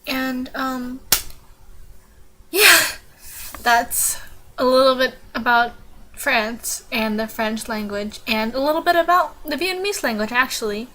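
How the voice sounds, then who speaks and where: A teenage girl vlogging casually, giggling awkwardly and filling pauses with lots of ums. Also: high-pitched, bright, forceful tone, rough recording. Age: teens. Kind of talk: casual informative vlog.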